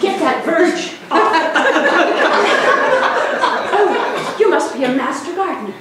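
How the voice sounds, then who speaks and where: A woman's voice: extremely high pitch, very animated, slow, very noisy. A woman performing improvised comic fairytale scenes on a stage. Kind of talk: improvised musical comedy on stage. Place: small theater stage, indoors. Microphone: far camera from the audience. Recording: echoey theater, distant mic, guitar behind.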